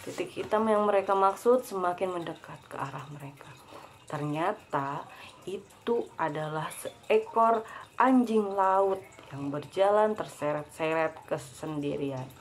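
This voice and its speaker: A woman's voice: on the low side, measured pace. A young woman reading a children's story aloud to a child, breaking off for chatty asides. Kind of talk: casual read-aloud storytelling.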